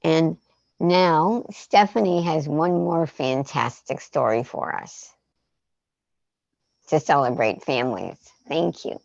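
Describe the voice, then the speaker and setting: A woman hosting a storytime on a muffled video call, speaking informally and haltingly with pauses between phrases. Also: low-pitched, vocal fry, darkish tone, no echo. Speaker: storytime host. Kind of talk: informal spoken introduction and thanks.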